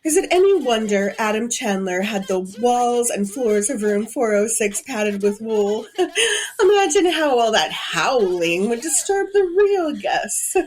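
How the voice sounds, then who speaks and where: A woman's voice: a little high, sing-song, unhurried, a little hiss or hum, poor audio. A woman acting as a haughty, gloating villainess in an audio drama, taunting and imperious. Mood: cruel glee. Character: haughty, gloating female villain, cruel body-borrowing hotel owner.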